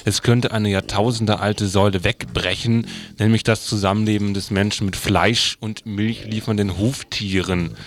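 Low-pitched man presenting on radio. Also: fairly even intonation, normal speed, hardly any pauses. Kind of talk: radio moderation, loosely scripted.

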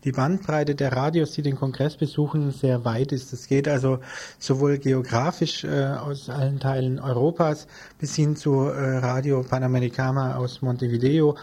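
A man's voice: medium pitch, flat delivery, normal speed, dark tone, slightly rough. A man giving unscripted, orderly explanations as an interview guest in a radio studio.

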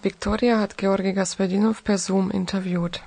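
Female radio co-host, medium pitch with noticeable vocal fry, scripted presentation. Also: flat delivery, moderate pace, noisy background.

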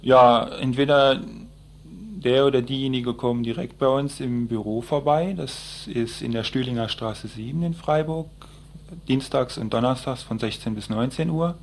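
A man giving spontaneous, explanatory answers in a radio interview, speaking in a hesitant, halting way. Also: mid-range voice, slight background noise. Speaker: environmental group activist being interviewed.

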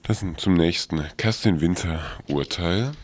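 Very deep-voiced male radio host. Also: unhurried. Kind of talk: casual radio presenting.

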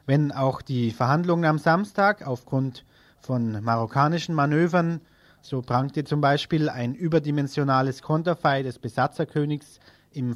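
Higher-voiced male radio interviewer. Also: no echo. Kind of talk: scripted radio report and interview questions.

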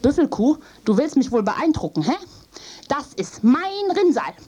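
A woman's voice: high-pitched, very animated, unhurried, darkish tone, voice pushed up. A woman voicing a tiny, angry, bossy male silverfish in a fairy tale, indignant and cheeky. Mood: cheeky threatening.

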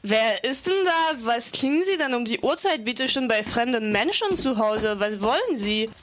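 Woman acting an assertive, level-headed young flatmate. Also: on the high side, a little hiss or hum, natural voice. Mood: defiant. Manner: assertive, brisk, organising. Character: young female flatmate, level-headed and defiant toward police. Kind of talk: amateur radio play acting.

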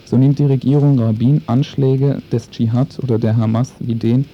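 A man's voice: medium pitch, level tone, normal speed, dark tone, lo-fi sound, faint music behind. A man reads a scripted political news commentary in a formal, sober manner.

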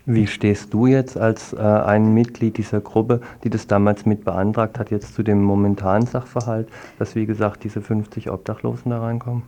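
Low-voiced man with an even, flat delivery. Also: moderate pace, few pauses. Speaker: radio host and interviewer. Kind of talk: unscripted radio interview and commentary. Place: radio studio.

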